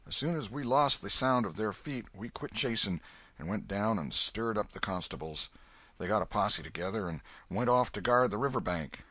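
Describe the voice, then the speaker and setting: A man's voice: animated, moderate pace, sharp tone, no room sound. A man playing a hearty old Welshman. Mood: storytelling. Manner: hearty, booming, fatherly.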